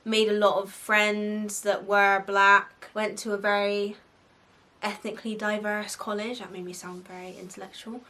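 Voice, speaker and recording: A woman's voice: medium pitch, sharp tone. A young woman with a Bristolian accent, hosting a faith podcast and giving frank, casual advice full of "like" fillers. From Bristol, England. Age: young adult. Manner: chatty, frank, lots of "like" fillers, frequent laughs.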